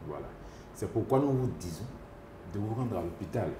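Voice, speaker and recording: A man's voice: on the low side, animated, normal speed, background noise. A man, a doctor answering interview questions, hesitant, with many fillers and restarts. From Côte d'Ivoire. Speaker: epidemiologist doctor. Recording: echoey room with faint ambience.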